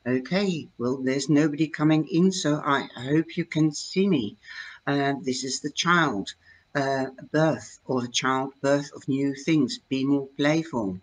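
An older woman with a Dutch accent, talking slowly into her webcam at home and explaining her fortune-telling cards in a chatty, hesitant way. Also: extremely low pitch, rough recording. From Netherlands. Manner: slow, chatty, with many hesitations. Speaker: tarot reader. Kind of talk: live-streamed card reading talk. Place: home room, indoors. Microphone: webcam at desk.

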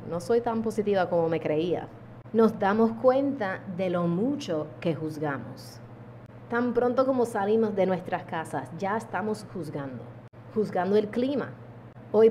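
A woman, calmly teaching a mindfulness lesson to camera, with deliberate pauses. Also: mid-range voice, slight background noise. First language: English. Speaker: yoga teacher. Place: indoor room at home. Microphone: small handheld lavalier mic held close.

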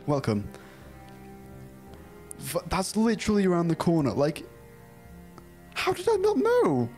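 A man's voice: high-pitched, huge pitch swings, takes it slowly, long pauses, darkish tone, soft background music. A young Dutch man with a British-style English accent streaming a game from a small room at home, chatting casually, giggling often and reading chat and game text aloud. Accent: Dutch speaker with a British-style English accent. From Netherlands.

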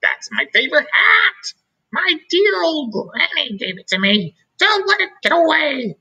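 Man putting on a bossy, theatrical monster schoolmarm, sharp-voiced. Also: extremely high pitch, sing-song, creaky, dull audio, voice pushed up, heavily acted. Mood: excited, dramatic. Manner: commanding, theatrical, drawn-out words. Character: stern monster schoolteacher, adult woman, stomping and roaring, softening.

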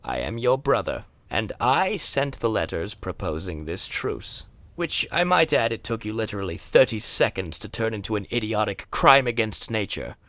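A man's voice: fairly low pitch, big pitch swings, moderate pace, few pauses, fairly bright tone, no room sound. A man playing a scornful, cunning, very old long-lost brother in a theatrical comic dub, with dry, exasperated menace. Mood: dry contempt.

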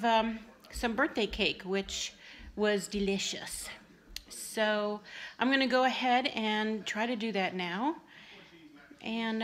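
A woman's voice: mid-range voice, a bit bright. Chatty woman filming her home cooking. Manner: homey, chatty, talks while cooking. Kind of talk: casual cooking vlog narration. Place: home kitchen and living room. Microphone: phone camera held in hand.

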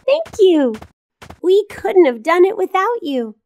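A woman voicing a cheerful, kindly little girl in a children's cartoon, speaking simply and clearly to young viewers. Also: very high-pitched, very animated, a little slow, darkish tone, lo-fi sound, heavily acted. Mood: friendly, instructive.

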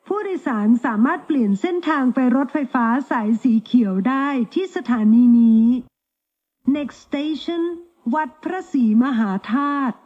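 A woman reading recorded train station announcements in a calm, even, measured delivery. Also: sits high, lo-fi sound. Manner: slow, evenly spaced announcements in two languages.

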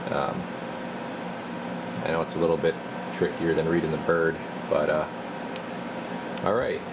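A man with a deep voice and a noticeable fry narrates a hands-on equipment demo. He speaks casually and offhandedly, as if thinking aloud at his workbench. A loud, steady hum sits behind his voice. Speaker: radio technician demonstrating a CB radio.

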